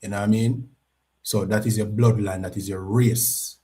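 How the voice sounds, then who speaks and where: Male, Jamaican accent, lecturing class leader, deep mellow tone. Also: steady tone, normal speed. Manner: repeats "you know what I mean?". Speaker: religious class teacher.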